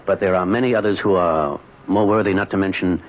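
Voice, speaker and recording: A man's voice: low voice, fairly even intonation, fairly quick, natural voice. An actor playing a weary, evasive middle-aged businessman in an old-time radio drama, speaking in a hesitant, pleading way. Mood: weary, resolute. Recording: muffled, noisy vintage radio recording.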